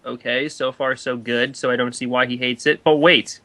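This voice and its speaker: A man's voice: medium pitch, fairly even intonation, normal speed, sharp tone. A man co-hosting a review, giving sarcastic, argumentative commentary on pieces he reads out. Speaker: reviewer, co-host. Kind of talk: dramatic reading with mocking commentary.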